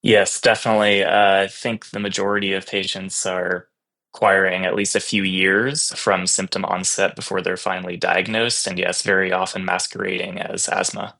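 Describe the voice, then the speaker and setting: A man's voice: deep voice, flat delivery, moderate pace, gravelly, bright, forceful tone. A young man, a physician guest, giving explanatory answers in an interview.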